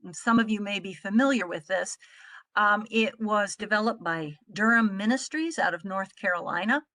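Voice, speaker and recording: American woman, middle-aged, bright crisp-edged tone, dry close sound, articulate explanatory presenter. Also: medium pitch, moderate pace, dry recording. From Midwestern United States. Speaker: trainer presenting on a conference call.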